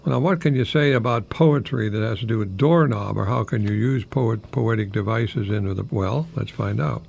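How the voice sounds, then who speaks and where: An older American man, a retired professor, giving a folksy, rambling radio monologue in a gravelly, husky voice with pauses mid-phrase. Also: fairly low pitch, darkish tone. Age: older, retired, 60s or above. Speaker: retired English professor hosting a radio program.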